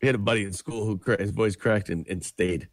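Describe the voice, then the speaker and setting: A young man co-hosting a morning radio show, trading wisecracks and deadpan asides in casual banter. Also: lowish voice, brisk, no room sound, clean studio sound.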